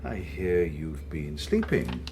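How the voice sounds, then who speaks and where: An actor playing a calm, patronising interrogator in a radio drama, measured and condescending, with mock-kindly menace. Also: male voice, rumbling low, music in the background, heavily acted. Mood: probing, controlled. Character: calm, patronising male interrogator of a totalitarian regime. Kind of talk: naturalistic radio drama acting.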